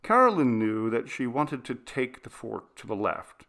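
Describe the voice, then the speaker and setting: Gravelly-edged man narrating a ghost story. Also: medium pitch, very animated, measured pace, a bit bright. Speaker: audiobook narrator.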